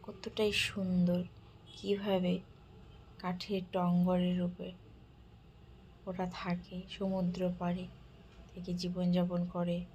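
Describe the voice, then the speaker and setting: A woman giving an admiring, soft-spoken narrated walk through an exhibit, now and then dropping to a whisper. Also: lowish voice, completely flat delivery, slow pace, long pauses, slight background noise. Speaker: vlogger touring a village-life exhibit. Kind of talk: casual narrated walkthrough.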